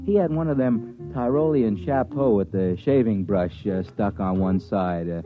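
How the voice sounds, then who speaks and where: A man's voice: lowish voice, phone-quality sound, close to an everyday voice. A man playing a hip, laid-back American disc jockey who chats in beatnik jive in a 1950s radio drama. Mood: chatty, amused. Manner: laid-back hipster, hesitant drawn-out chattiness. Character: hip, jazzy male disc jockey spinning a yarn. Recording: thin old radio recording, music behind. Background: music.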